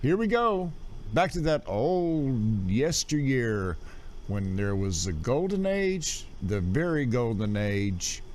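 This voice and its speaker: A man's voice: medium pitch, big pitch swings, slow, a little mellow, a little hiss or hum. A man hosting a radio show livestream, chatting casually and greeting listeners in a folksy way. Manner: folksy, addresses listeners as gang.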